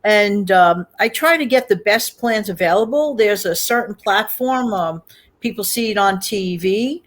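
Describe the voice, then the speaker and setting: A woman's voice: mid-range voice, expressive, a little slow. Chatty woman hosting a casual show. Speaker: show host. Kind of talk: casual livestream chat.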